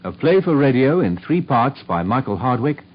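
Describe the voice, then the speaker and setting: A man with an English accent giving formal, measured scripted announcements as a radio drama announcer. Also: medium pitch, very animated. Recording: muffled old radio recording.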